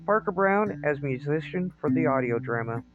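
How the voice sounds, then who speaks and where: A man's voice: on the high side, lively intonation, normal speed. A man announcing scripted credits for an audio drama over guitar music, in a slightly lo-fi recording. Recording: slightly dull, lo-fi sound.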